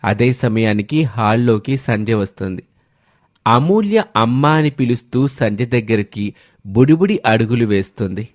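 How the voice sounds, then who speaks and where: Medium-pitched man narrating a story. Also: expressive, normal speed. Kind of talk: scripted dramatic story narration.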